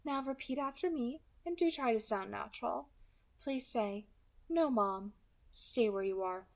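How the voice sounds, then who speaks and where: A woman voicing a polite, menacing female kidnapper with a soft, pleasant alto voice, light and friendly in tone. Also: a little high, animated, a little slow, vocal fry, pitched above the performer's own voice. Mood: coolly menacing. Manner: light, friendly, quietly threatening.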